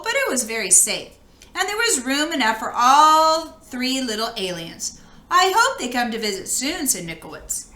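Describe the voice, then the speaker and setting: Bright, high-voiced woman reading a story. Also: roomy sound. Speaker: storybook reader. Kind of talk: expressive children's read-aloud narration.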